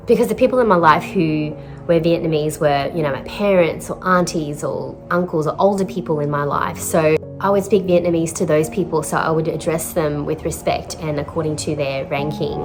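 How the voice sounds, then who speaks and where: A woman talks in a low, deep register. She is a therapist speaking slowly and thoughtfully, with pauses and fillers. Her voice-over sits over clear background music. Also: steady tone. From Australia. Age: 30s. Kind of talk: reflective vlog voice-over.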